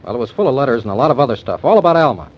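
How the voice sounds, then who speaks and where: An actor in 1940s radio acting, playing a confident young man who briskly lays out his case. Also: mid-range voice, very animated. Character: resourceful young man, accused suspect. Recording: dull old radio recording.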